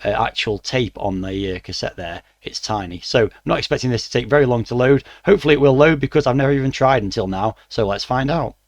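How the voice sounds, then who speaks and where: A middle-aged man with a croaky voice, reviewing a retro game with dry, wry asides. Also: mid-range voice, fast, hardly any pauses, no echo. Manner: dry, mildly wry asides with frequent "uh" fillers. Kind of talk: relaxed spoken review.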